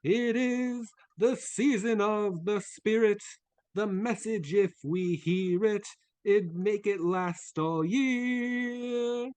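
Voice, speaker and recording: A man's voice: high voice, slow pace, a little mellow, clear, resonant tone, no echo. A man playing a jolly, kindly Christmas spirit in a live dramatic reading, hearty and festive. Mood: joyful singing. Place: remote video call at home. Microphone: video call microphone.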